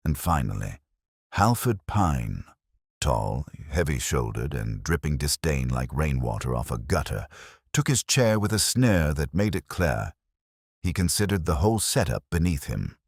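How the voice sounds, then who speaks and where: Very deep, husky male mystery narrator. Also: no room sound, clean studio sound. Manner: dramatic, hardboiled, wry. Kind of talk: scripted first-person detective story narration.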